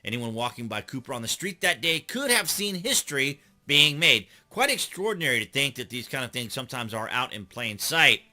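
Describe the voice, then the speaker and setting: A man's voice: medium pitch, very animated, brisk, hardly any pauses, pushed and bright. A middle-aged American man hosting a news commentary channel, reading and commenting in a conversational way that leans on key words.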